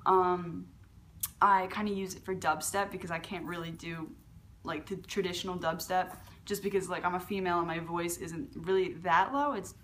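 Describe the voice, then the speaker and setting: A young woman with a fairly low voice for a woman, hosting a casual beatbox tutorial from her living room and talking to a webcam, with lots of fillers and restarts. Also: steady tone, normal speed, fairly bright tone, slight background noise. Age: young adult. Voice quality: lower voice for a woman. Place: large living room at home.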